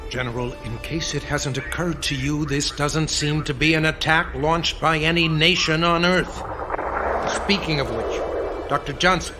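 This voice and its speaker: A man's voice: fairly high pitch, fairly even intonation, unhurried, over music, natural voice. A man acting in a radio drama as a US president in a crisis, commanding and cautious, with a firm, authoritative manner.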